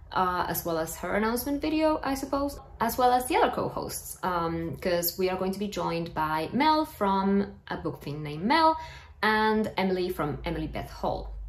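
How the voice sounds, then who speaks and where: A woman in her thirties from South America, a book vlogger chatting casually to camera in a small room. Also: mid-range voice. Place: small plain room at home, indoors. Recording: roomy indoor echo.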